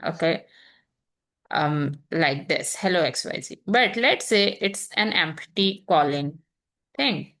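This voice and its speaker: A young woman teaching programming in an unhurried, think-aloud way, pausing and restarting as she types examples. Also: deep voice, expressive, a bit bright. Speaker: programming instructor. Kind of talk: screen-recorded coding tutorial.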